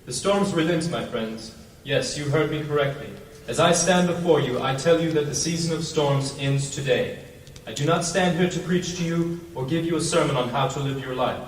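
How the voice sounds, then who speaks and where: Echoing, stately high priest played by a man. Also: slight background noise, lightly acted. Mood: uplifting, grand. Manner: oratorical, deliberate. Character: benevolent, stately high priest addressing a huge crowd. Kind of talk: public speech in fantasy audio drama. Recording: echoing, distant, reverberant, outdoor ambience.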